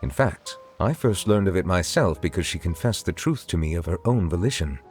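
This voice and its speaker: Deep formal judge, calm and measured, soft music behind. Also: male voice. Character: stately male chief judge, formal and measured.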